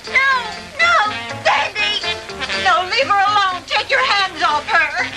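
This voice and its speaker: An actress playing a frightened young captive in a 1960s B-movie melodrama, crying out shrilly and pleadingly on an old film soundtrack. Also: female voice, very high-pitched, sing-song, slow pace, over music, full character voice, low-quality recording. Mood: panicked.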